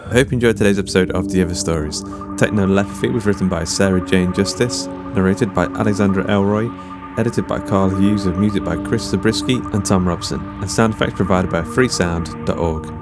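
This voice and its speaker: A man's voice: low voice, fairly quick. A man hosting a podcast, reading a scripted outro with the credits. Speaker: podcast host. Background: music.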